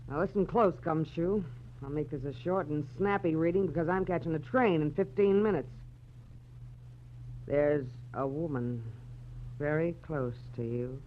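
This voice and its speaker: A woman's voice: low-pitched, measured pace. An actress playing a brassy, scornful female fortune teller with a snappy, taunting delivery in 1940s radio style. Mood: mocking, menacing. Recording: muffled old radio recording, faint hum.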